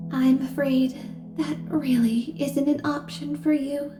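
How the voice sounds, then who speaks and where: A woman's voice: sits high, fairly even intonation, slow pace, roomy sound, music in the background. A woman voicing a menacing, sanctimonious female deacon in a fantasy audio roleplay, speaking in a formal, pious and condescending way. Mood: cold, threatening. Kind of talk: ASMR fantasy roleplay acting.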